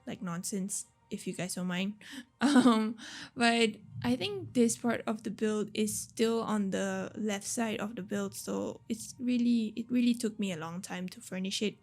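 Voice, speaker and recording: A woman giving casual, unscripted voice-over commentary on a game build, rambling and unsure, with a slightly raspy, tired voice. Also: medium pitch, measured pace, darkish tone. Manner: rambling, unsure, apologetic, giggly. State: voice tired from talking a lot. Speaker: gaming video creator narrating a build. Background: background music.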